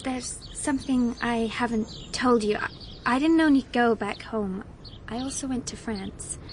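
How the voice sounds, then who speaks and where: A woman's voice: fairly high pitch, a little slow, a little hiss or hum, natural voice. A young woman acting a sweet, devoted, earnest heiress in a radio play. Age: young adult.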